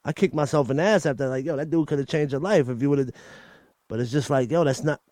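A man's voice: fairly high pitch, fast, a little mellow, no room sound, clean studio sound. A man from Coney Island with a New York accent, talking candidly as an interview guest and often repeating himself and restarting. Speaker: guest, battle rapper. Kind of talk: casual interview talk.

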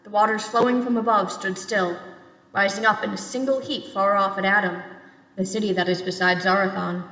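A young woman reads scripture aloud as a lector. Her voice sits at a middle pitch. It rings with long hall reverb, and the recording is slightly dull. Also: fairly bright tone. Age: young adult.

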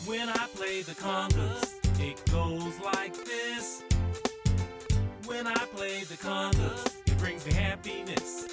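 A woman's voice: medium pitch, slow pace. A woman singing a children's song in a call-and-response chant. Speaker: children's musician. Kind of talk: sung children's song over music. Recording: loud backing music.

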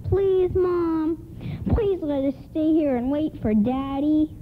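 A woman's voice: high voice, slow pace. A female performer acting an eager, pleading young child in a radio play. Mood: hopeful, pleading. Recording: lo-fi, faintly noisy radio broadcast.

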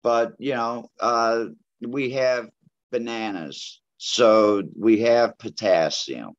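A man's voice: mid-range voice, slow, no echo. A man talking bluntly in an interview about growing cannabis abroad, rambling with many fillers. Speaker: cannabis grower guest. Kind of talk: casual interview talk. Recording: dull lo-fi audio.

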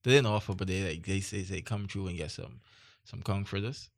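A man's voice: sits low, fairly even intonation, creaky, dry recording, clean studio sound. A man co-hosting a podcast, joking and swearing in casual banter with friends. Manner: teasing, swears freely, quick comic complaints. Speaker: podcast co-host.

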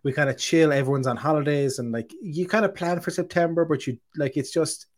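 A man's voice: a little high, brisk. Rambling man hosting an online chat. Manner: rambling, many fillers and restarts. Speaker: host. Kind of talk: casual interview chat.

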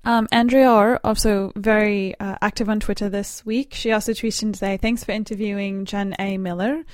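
A woman's voice: medium pitch, steady tone, normal speed, darkish tone, no room sound, natural voice. Woman putting on a composed British accent, dry sound. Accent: put-on British. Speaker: podcast co-host reading listener tweets.

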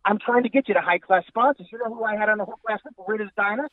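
A man's voice: high voice, rapid-fire, no echo, narrow, tinny audio, lightly acted. A man voicing a pushy older male talent agent who calls in to nag and scold, bossy and repeating the listener's name constantly. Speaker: caller. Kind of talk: improvised comedy bit.

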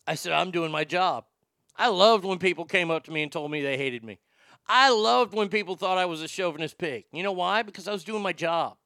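A man's voice: high-pitched, vocal fry, bright, forceful tone, smooth, dry recording, studio quality. A man in his fifties from Texas, a radio host telling profane, rambling stories in a casual monologue. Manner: profane, rambling storyteller with many restarts.

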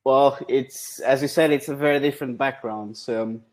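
A man in his forties from Portugal with a Portuguese accent, a guest on a podcast interview, talking in a relaxed, rambling way with many ums and restarts. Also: medium pitch, normal speed. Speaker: guest, football data analyst.

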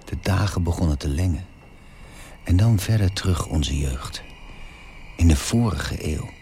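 An actor playing a man wistfully looking back on his childhood, narrating a radio drama in measured, reflective fragments. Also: low-pitched, lively intonation, warm tone, slight background noise. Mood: wistful, reminiscing. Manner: measured, reminiscing in short fragments. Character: man wistfully recalling his early twentieth-century childhood. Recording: prominent music behind the voice.

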